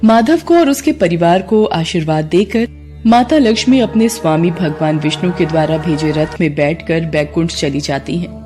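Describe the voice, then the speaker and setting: A woman's voice: fairly low pitch, expressive, normal speed, mellow, soft background music. A woman storyteller reading scripted narration for a devotional moral story.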